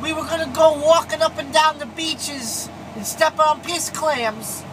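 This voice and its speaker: Man putting on a high whiny oddball prankster. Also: steady tone, a little slow. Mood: plaintive, silly. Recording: noisy CB radio in a vehicle.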